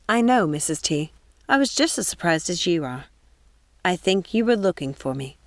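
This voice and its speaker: A woman's voice: fairly low pitch, very animated, normal speed, dry recording. A woman voicing a cunning witch who fakes sweetness and concern. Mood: falsely sweet.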